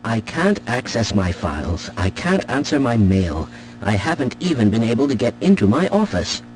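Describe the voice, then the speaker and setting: An actor playing an irate, self-important facility employee in a video game, indignant and demanding. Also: male voice, medium pitch, very animated, moderate pace, a little mellow, soft background music, lightly acted. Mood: indignant, threatening.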